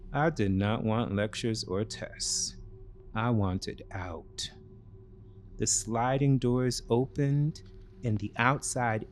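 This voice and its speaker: A man narrating a scripted thriller story in short, deliberate, measured phrases. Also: medium pitch, a little hiss or hum.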